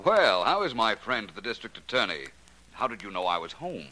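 A man's voice: fairly low pitch, sing-song, moderate pace, pushed and bright, a little hiss or hum, low-quality recording. A man playing an urbane, wry, inquisitive detective in 1940s radio drama style. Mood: genial. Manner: suave, wry. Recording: vintage 1940s radio recording.

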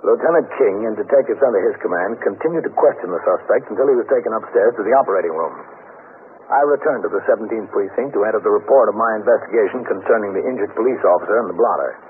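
An actor playing a calm police captain who narrates his cases in a businesslike way in a radio drama. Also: on the low side, fast talker, close to an everyday voice. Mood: formal, factual. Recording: muffled 1950s radio recording, hiss.

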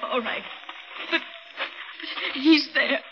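High-pitched, frightened, distraught heroine. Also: female voice, noisy background. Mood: exhausted, tearful. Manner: breathless, halting, fearful. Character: frightened, distraught woman pursued by a killer. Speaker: radio drama actor. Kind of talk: 1940s radio thriller acting. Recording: muffled old radio recording, loud dramatic music.

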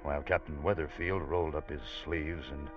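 An actor playing an earnest, anxious ship's officer who narrates a sea tale in a vintage radio drama. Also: male voice, extremely low pitch, steady tone, a little fast, music in the background. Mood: tense, recounting. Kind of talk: 1940s-50s radio drama narration and acting. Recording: muffled vintage radio recording.

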